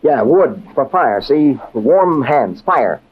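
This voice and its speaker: A man's voice: mid-range voice, very animated, takes it slowly, husky, exaggerated voice. An actor playing a tough, wisecracking American adventurer in an old-time radio drama, slangy and wry as he talks and narrates. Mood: coaxing. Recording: dull, noisy vintage radio recording.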